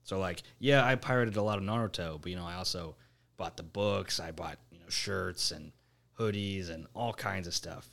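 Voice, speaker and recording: A man's voice: low-pitched, normal speed, vocal fry, a bit bright. A man in his late twenties on a friends' podcast, rambling casually with lots of "like" and "you know". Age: 20s. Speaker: podcast co-host. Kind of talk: casual chat among friends.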